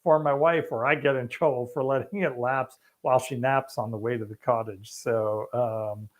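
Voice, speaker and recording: A man's voice: medium pitch, steady tone, moderate pace. A middle-aged man, a fertility specialist hosting a live question-and-answer stream, talking bluntly and casually and laughing easily. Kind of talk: live Q&A chat.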